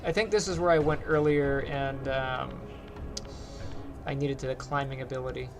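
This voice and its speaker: A man with a light, higher-pitched voice, casually rambling to his chat as he plays a game and streams. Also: fairly even intonation, normal speed, music in the background. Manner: rambling, chatty, talks to chat while playing. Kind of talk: casual gameplay commentary.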